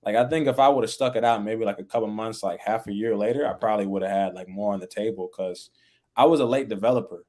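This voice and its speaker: A young man talking casually as a podcast guest, relaxed and full of fillers, raised in the American Midwest. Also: lowish voice, fast. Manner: relaxed, laid-back, many fillers like "like" and "you know". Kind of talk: casual interview chat.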